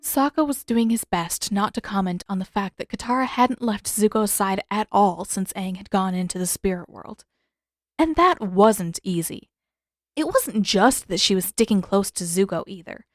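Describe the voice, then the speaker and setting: A woman's voice: medium pitch, expressive, moderate pace, no room sound, clean studio sound. A woman reading scripted story narration as an audiobook narrator.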